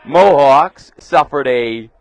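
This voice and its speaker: A young man calling live baseball play-by-play for radio in a crisp announcer delivery, punching up the pitch calls. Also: lively intonation, slow, no echo. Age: young adult. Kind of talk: live sports commentary.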